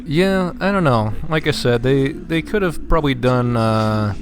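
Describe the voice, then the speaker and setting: A man's voice: medium pitch, expressive, a little slow. Man rambling casually over a game. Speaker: gameplay commentator. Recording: faint background game noise. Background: game sound.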